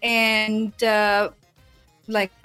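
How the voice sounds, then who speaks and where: A woman's voice: mid-range voice, completely flat delivery, extremely slow, pushed and bright, clean tone. Woman from Jordan with music behind. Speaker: podcast guest, entrepreneur. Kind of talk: personal storytelling in a live interview. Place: live remote video call.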